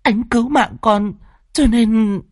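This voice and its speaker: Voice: mid-range voice, takes it slowly, a little mellow, dry recording, clean studio sound, pitched above the performer's own voice. A storyteller voicing a meek, frightened young daughter, stammering and begging tearfully. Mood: meek confession. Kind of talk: dramatic audio storytelling.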